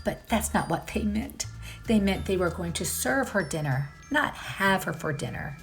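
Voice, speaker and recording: A woman reading a storybook aloud for children, pausing to put questions and asides to the young listeners. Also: medium pitch. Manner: unhurried, addresses the listener with questions. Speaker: children's read-aloud host.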